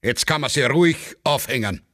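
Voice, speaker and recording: A man's voice: medium pitch, fairly quick, a bit bright, no echo, studio quality, pitched above the performer's own voice, natural voice. A man playing a callous, cynical military judge with an Austrian accent in a satirical radio play, brusque and dismissive. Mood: cynical, offhand.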